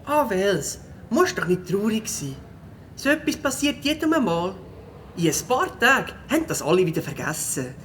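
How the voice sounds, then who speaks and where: A woman's voice: mid-range voice, big pitch swings, moderate pace, a bit bright, slight background noise. A woman voicing a kind, cheerful young beaver schoolboy in a children's audio drama, with a Swiss German accent. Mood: comforting. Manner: comforting, upbeat.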